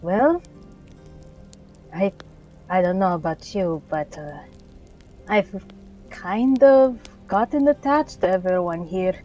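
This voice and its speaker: A woman's voice: medium pitch, slow, pauses often, warm tone, muffled recording, faint music behind. A woman playing a tabletop game, casually narrating and speaking as her character. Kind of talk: casual in-character role-play.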